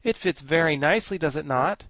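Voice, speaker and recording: Man putting on a pompous insect professor, high, lofty, sing-song, very dry sound. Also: fairly quick, fairly bright tone, dry recording. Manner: grandiloquent, formal, self-satisfied. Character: pompous learned giant male insect gentleman. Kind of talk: audiobook character voice.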